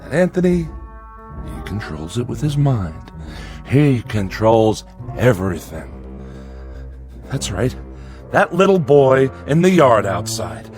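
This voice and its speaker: An actor playing a frightened small-town father in a radio drama, halting and strained as he forces cheerfulness. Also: medium pitch, very animated, darkish tone, faint music behind. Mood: fearful warning. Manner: halting, repeats words, strained.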